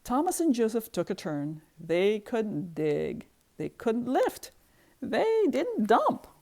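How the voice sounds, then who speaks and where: A woman reading a children's book aloud in expressive narration, stressing repeated words rhythmically. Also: fairly high pitch, takes it slowly, creaky.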